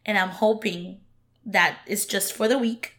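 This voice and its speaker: A woman co-hosting a casual podcast chat, her voice hoarse, blunt and sassy, calling people honey. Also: medium pitch, fairly even intonation, unhurried, fairly bright tone. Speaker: podcast co-host.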